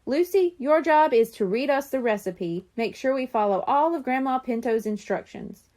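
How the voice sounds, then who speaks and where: A woman's voice: high-pitched, expressive, moderate pace, darkish tone. A woman reading aloud while voicing a sensible, well-informed girl in a children's storybook. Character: smart, sensible girl who knows facts.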